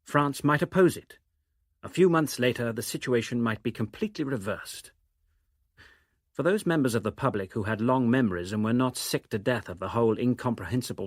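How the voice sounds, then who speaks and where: Guy reading a novel out loud, formal and measured, really dry close studio sound. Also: mid-range voice, no room sound. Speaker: reader of novel extracts. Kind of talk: scripted dramatic literary narration.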